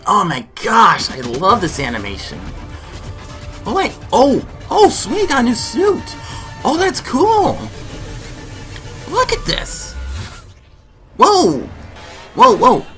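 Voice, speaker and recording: An excitable man hosts a reaction vlog over background music. His pitch moves around in a lively way, and he tends to exclaim and repeat his words. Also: slow, long pauses. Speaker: YouTube reaction host. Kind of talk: enthusiastic reaction and discussion vlog.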